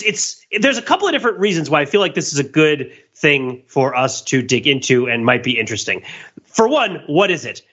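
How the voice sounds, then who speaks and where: A man's voice: a little high, sharp tone, highs cut off. A man co-hosting a podcast, talking casually and analytically with emphatic enthusiasm about pop culture. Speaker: podcast co-host.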